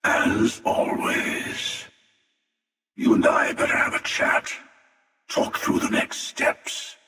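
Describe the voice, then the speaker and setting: A man voicing a jaded, menacing, world-weary older space hunter for a video game, with a gravelly, sardonic and deliberate delivery. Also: sharp tone. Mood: measured, sardonic. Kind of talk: video game character voice lines. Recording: cavernous echo and long reverb, distant.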